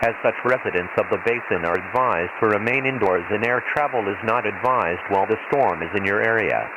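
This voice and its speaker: A hissy radio transmission carries a man acting as an official male weather announcer from a science fiction desert world. His voice is fairly low, filtered like a radio broadcast. He reads hazard warnings in a formal, measured, impersonal way, as a scripted emergency bulletin. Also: muffled recording. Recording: hissy, noisy shortwave-style radio transmission.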